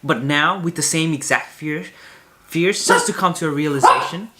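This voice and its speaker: A young man with a high-pitched voice talking casually and candidly to camera in a vlog, rambling with many fillers and restarts. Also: moderate pace, heavy background noise. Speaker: vlogger.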